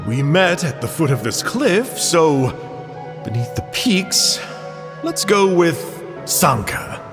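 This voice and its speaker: An actor playing a sly, teasing drifter in a video game, smooth-talking and theatrical. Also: male voice, fairly high pitch, sing-song, takes it slowly, in a large hall, over music, heavily acted. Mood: breezy, sly. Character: sly, teasing adult male drifter, evasive storyteller-charmer.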